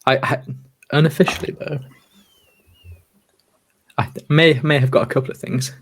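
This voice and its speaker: A man's voice: on the high side, steady tone, normal speed, husky. A man chatting casually as a podcast guest, hesitant and joking in his delivery. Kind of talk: casual chat.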